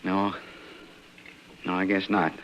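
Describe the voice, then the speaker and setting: A proud young frontier man speaks low with a rural American accent. He is guarded, desperate and plain-spoken. It sounds like a muffled old radio western. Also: flat delivery, takes it slowly, a little hiss or hum. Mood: subdued, weary. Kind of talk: 1950s radio western acting.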